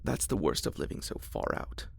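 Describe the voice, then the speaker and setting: A man's voice: normal speed, close to an everyday voice. A man voicing a fretful, grumbling old father in a whispered storybook reading. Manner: querulous outbursts.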